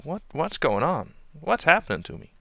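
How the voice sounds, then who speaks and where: Man doing goofy young game soldier, flustered, stammering, wildly swooping, bright tone. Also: sing-song, normal speed, creaky, no echo, clean studio sound, full character voice. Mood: nervous. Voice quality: flustered, stammering, jumps in pitch. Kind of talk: fan dub of game cutscenes.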